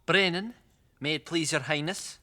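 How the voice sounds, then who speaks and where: A nervous, high-voiced farm boy. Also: bright, forceful tone, a little hiss or hum. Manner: nervous, polite, halting. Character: shy, strong, deferential ten-year-old farm boy. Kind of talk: fantasy audio drama.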